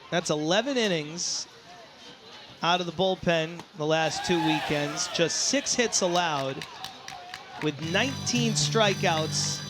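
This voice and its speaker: A man calling a baseball game live as play-by-play announcer, excitable and given to shouting on big plays. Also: on the high side. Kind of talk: live sports commentary. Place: outdoor baseball ballpark.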